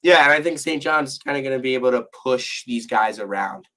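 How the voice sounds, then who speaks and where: Hoarse young man co-hosting a podcast. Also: medium pitch, lively intonation, fairly quick, pushed and bright. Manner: rambling, restarts sentences. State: hoarse, losing his voice. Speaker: podcast co-host. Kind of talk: casual sports podcast chat.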